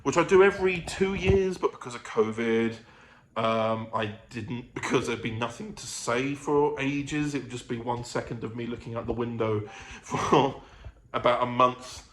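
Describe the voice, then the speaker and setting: Male vlogger, medium pitch, casual and hesitant, self-correcting, roomy home sound. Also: moderate pace, a bit bright. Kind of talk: casual rambling vlog chat. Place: living room of own apartment. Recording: echoey room with faint ambient noise.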